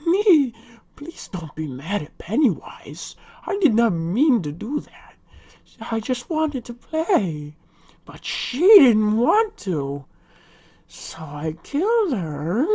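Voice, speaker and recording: A woman's voice: medium pitch, mellow, heavily acted. A woman playing a sadistic killer clown monster in a horror broadcast drama, with childlike mock innocence and giggling menace. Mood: mock-sorry, creepy. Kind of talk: horror monologue in a fictional broadcast hijack.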